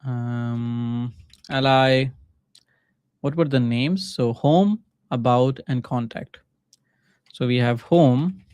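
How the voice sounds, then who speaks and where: A man from Pakistan with a Pakistani accent and Urdu as his first language, casually thinking aloud in short halting phrases as he live-streams coding. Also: medium pitch, a little mellow, clean tone, no room sound. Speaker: livestreaming coder and mentor.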